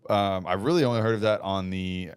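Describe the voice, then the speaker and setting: A young man co-hosting a casual podcast, giving matter-of-fact advice with quiet deadpan jokes. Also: low voice, a little fast, clear, resonant tone, no room sound. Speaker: podcast co-host, mortgage lender. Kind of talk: casual chat.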